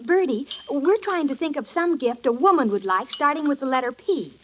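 A woman's voice: high-pitched, animated, moderate pace. An actress playing a cheerful young niece and mother in a 1950s radio sitcom. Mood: curious. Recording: muffled vintage radio recording.

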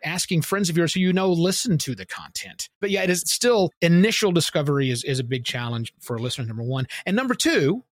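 A man's voice: fairly high pitch, big pitch swings, a little fast, hardly any pauses, no room sound. A man answering interview questions in an opinionated, emphatic way, explaining his points with examples. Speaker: podcasting guest expert.

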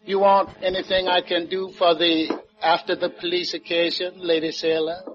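An actor playing a devoted, easygoing island musician with a Caribbean accent and a quaint, deferential lilt, in 1950s radio drama style. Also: male voice, high-pitched, level tone, moderate pace, faint music behind, lightly acted. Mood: helpful. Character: easygoing, devoted Caribbean calypso guitarist and helper. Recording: muffled old 1950s radio recording.

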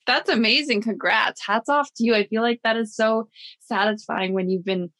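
A woman's voice: a little high, fairly even intonation, moderate pace, fairly bright tone. A woman hosting a podcast interview, introducing her guest and asking questions in an upbeat, encouraging, conversational way. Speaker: podcast host.

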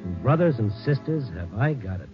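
An actor playing a wry, world-weary newspaper night reporter in a 1950s radio drama, with a sardonic, hard-boiled way of storytelling. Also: male voice, medium pitch, big pitch swings, fairly quick, close to an everyday voice. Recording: muffled 1950s radio recording, music behind.

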